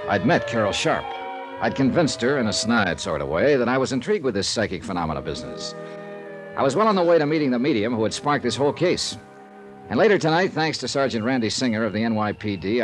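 A man's voice: mid-range voice, lightly acted. An American man acting as a wry insurance investigator, narrating his case conversationally in a 1950s radio drama. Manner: wry, conversational first-person storytelling. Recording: 1950s radio broadcast with background music.

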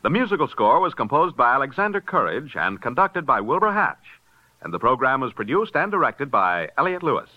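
A man's voice: medium pitch, sing-song, fairly quick, no echo. An American man reading scripted announcements in 1950s network radio style. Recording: dull vintage radio recording, music behind.

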